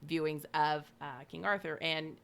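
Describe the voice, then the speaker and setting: A woman's voice: sits low, steady tone, moderate pace, vocal fry, smooth. A woman co-hosting a podcast, reading from notes with chatty, informal asides and some stumbles over names. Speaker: podcast co-host.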